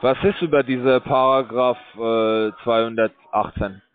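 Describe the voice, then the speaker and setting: A man calling from a call center, rambling in a bored, crude, halting way. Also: medium pitch, steady tone. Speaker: call center scam caller. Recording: dry tinny phone line.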